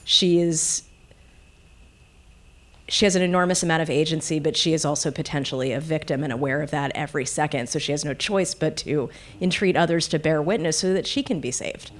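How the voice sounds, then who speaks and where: A woman giving an articulate, deliberate analytical comment from the audience at a public discussion. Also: sits low.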